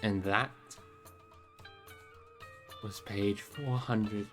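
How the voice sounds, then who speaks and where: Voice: sits low, fairly even intonation, drawn-out words. Storybook narrator reading over music. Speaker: streamer reading a novel aloud.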